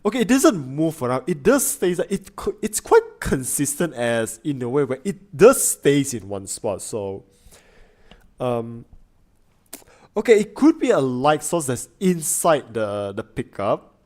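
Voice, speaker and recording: A man's voice: medium pitch, sing-song, measured pace, a little mellow. A man streaming a casual reaction, thinking aloud with lots of fillers and restarts. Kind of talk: casual chat.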